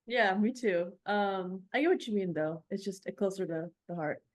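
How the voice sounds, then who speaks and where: A woman's voice: mid-range voice, normal speed. A woman working as a radio DJ, asking interview questions and responding briefly to her guest.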